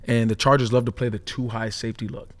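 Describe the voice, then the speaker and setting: Male ex-player analyst, medium pitch, conversational and explanatory. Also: steady tone, fast talker. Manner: explanatory, often checks with "right?". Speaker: former player turned football analyst. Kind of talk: casual sports analysis.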